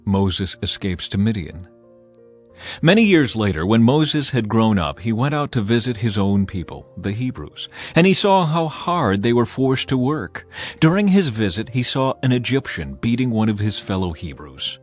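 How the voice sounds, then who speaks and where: A man reading scripted Bible narration in a measured, expressive storytelling manner. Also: fairly low pitch. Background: background music.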